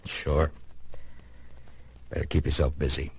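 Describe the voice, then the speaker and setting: Low-voiced, stoic western marshal. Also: male voice, measured pace. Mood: terse, calm. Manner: terse, understated, deadpan. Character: stoic, dry U.S. Marshal of the Old West. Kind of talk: old-time radio western drama acting. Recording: noisy old radio drama recording.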